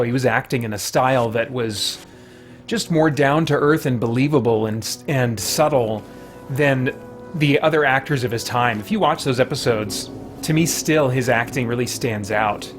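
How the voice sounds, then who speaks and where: A man's voice: medium pitch, a little slow, soft background music. A man hosting a game livestream, chatting casually in a rambling, conversational way as he plays. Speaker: video game livestream host. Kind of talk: casual chat and play commentary.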